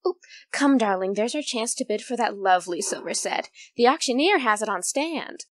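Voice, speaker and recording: A woman's voice: a little high, expressive, normal speed, no room sound, studio quality, natural voice. A young woman playing an observant, gossipy society woman hunting bargains, in a theatrical radio play. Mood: eager. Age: young adult. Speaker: student actor.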